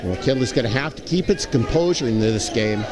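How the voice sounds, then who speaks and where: A man's voice: medium pitch, lively intonation, brisk. A man giving live basketball analysis as a color commentator, explaining and restating his points for emphasis. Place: booth at an indoor basketball game. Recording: crowd noise behind the commentary. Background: clearly audible crowd.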